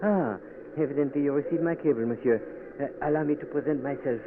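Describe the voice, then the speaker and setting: An actor playing a smooth, courtly male government minister, in an old radio drama. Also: fairly high pitch, quick pace, close to an everyday voice. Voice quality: smooth, courtly, French phrases. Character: suave scheming male Haitian health minister. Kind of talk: 1940s radio acting. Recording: noisy tinny old radio broadcast.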